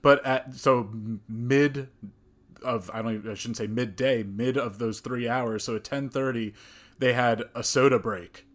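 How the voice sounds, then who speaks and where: A man's voice: medium pitch, fairly even intonation, a little slow, fairly bright tone. Chatty young man hosting a podcast. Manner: chatty, laughs often, many restarts. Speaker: podcast host. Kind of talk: casual conversational interview.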